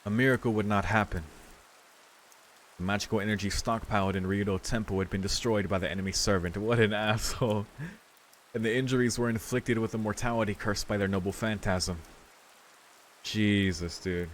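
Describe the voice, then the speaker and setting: Low-voiced man reading along, noisy background. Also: fairly even intonation, moderate pace. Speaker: video game streamer reading along. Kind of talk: reading visual novel narration aloud, casual commentary.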